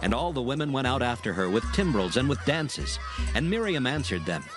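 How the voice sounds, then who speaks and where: A man narrating scripture in a measured, scripted reading. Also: mid-range voice. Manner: measured, lively intonation. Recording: music bed behind.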